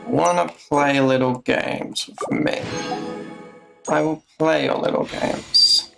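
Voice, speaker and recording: A man giving casual livestream gameplay commentary in a halting way, muttering to himself. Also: fairly high pitch, fairly even intonation, gravelly. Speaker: live game streamer. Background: game music.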